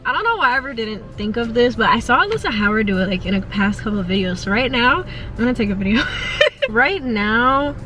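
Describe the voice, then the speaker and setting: A woman's voice: a little high, lively intonation, fairly quick, sharp tone, soft background music. A young woman in her late teens, a college student and influencer, talking casually to her camera in a rambling, playful way from her car and home. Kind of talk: casual vlog talk to camera. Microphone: camera mounted in a car.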